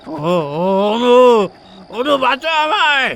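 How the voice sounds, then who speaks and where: A man's voice: extremely high pitch, very animated, slow pace, sharp tone, a little hiss or hum, pitched above the performer's own voice, full character voice. A man voicing a stout middle-aged village headman crying for help as he chokes in panic. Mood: terrified. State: choking, panicked.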